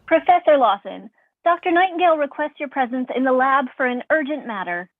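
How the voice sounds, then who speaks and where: An actress playing a polite, dry-witted robot assistant in a staged sci-fi play, speaking formally and deadpan. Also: female voice, high-pitched, a little fast. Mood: formal, insistent. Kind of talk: staged reading of a science fiction play. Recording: thin telephone-like sound.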